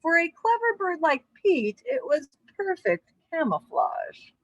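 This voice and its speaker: Animated woman reading aloud to children. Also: very high voice, slow, no room sound, dull audio. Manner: expressive, addresses young listeners with asides.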